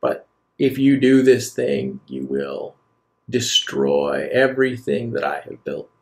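A man voicing an aged, philosophical leader in a tabletop game, speaking solemnly and deliberately with pauses. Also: medium pitch, sing-song, gravelly, darkish tone, heavily acted. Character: aged male leader, measured, grave, philosophical, persuasive. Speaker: game master. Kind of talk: in-character tabletop roleplay.

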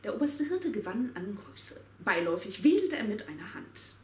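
A woman reading as a wry storyteller, measured and deliberate, in a dramatic radio play style. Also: medium pitch, very animated, mellow, close to an everyday voice. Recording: roomy, distant mic, background noise.

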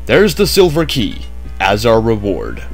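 A man's voice: lowish voice, wildly swooping pitch, measured pace, creaky, soft background music. A man narrating a game walkthrough in a chatty way with jokey asides, just woken up. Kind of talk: casual gameplay commentary.

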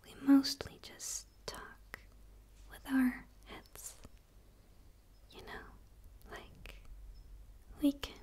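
A woman voicing a gentle, curious dark alien in a soft, tentative, pleading ASMR roleplay whisper. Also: very slow, pauses often. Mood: earnest, hopeful. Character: gentle, curious female dark alien eager for human friendship.

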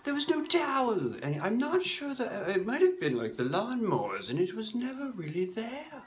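A woman's voice: on the high side, wildly swooping pitch, moderate pace. A woman telling a comic story in a stagey put-on voice, with mock-formal phrasing, stammered repetitions and whispered asides. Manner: puts on a stagey persona accent.

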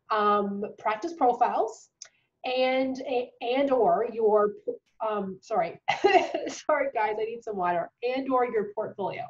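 A woman in her thirties with a cold, talking casually to camera from a bedroom, with lots of ums and restarts. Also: medium pitch. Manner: chatty, many ums and restarts. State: sick with a cold, voice going. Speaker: dental hygiene tutor. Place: small bedroom at home. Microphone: webcam at desk distance. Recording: dull lo-fi webcam audio.

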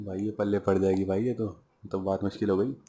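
A young man talks in a casual, conversational way, as if filming a vlog. His voice sits fairly low and stays quite flat in melody, even when he argues. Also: quick pace. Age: 20s. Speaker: prank-call vlogger. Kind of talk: casual chat and phone argument. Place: small furnished room at home, indoors. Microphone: small clip microphone held near the mouth.

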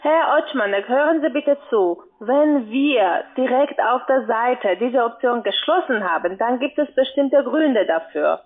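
Female phone sales agent, fairly high pitch, insistent and formal, thin telephone sound. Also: expressive, unhurried, hardly any pauses. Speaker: call center agent. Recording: tinny band-limited phone line.

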